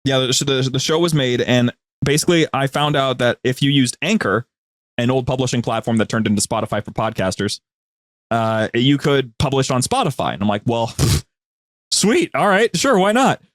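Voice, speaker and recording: A young man chatting casually as a podcast guest, telling his anecdotes in an animated, slangy way. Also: mid-range voice, normal speed, dry recording, studio quality. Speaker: podcast guest, audio drama creator. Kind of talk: casual interview chat.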